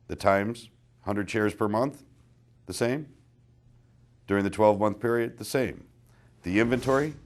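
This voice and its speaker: A man reading a scripted presentation in a deliberate, explanatory manner. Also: fairly low pitch.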